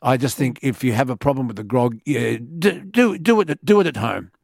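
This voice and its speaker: Gruff radio host. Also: male voice, medium pitch, lively intonation, a little fast, no echo, clean studio sound. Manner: gruff, teasing, self-mocking. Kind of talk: talk radio interview.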